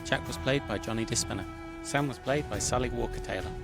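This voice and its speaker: A man announces scripted credits in a creaky, medium-pitched voice. Guitar music plays clearly behind him. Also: a little fast.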